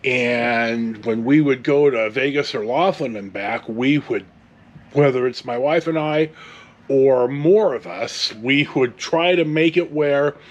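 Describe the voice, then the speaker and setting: A man in his sixties with a Californian American accent, telling a casual, meandering story as host, with digressions and mild swearing. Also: medium pitch. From California, United States. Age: 60s. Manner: meandering, digressive, mildly profane.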